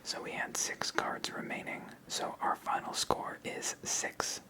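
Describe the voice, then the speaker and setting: An ASMR host whispering commentary while playing through a tabletop card game. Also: measured pace.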